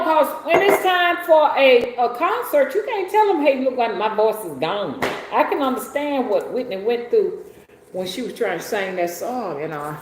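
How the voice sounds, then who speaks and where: Hoarse, slow-talking woman. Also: sits high. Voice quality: soft voice. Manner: rambling, joking, addresses viewers as y'all. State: hoarse and congested. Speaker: rootworker and spiritual reader hosting her channel. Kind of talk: casual chatty livestream talk. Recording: very echoey room, faint background hiss.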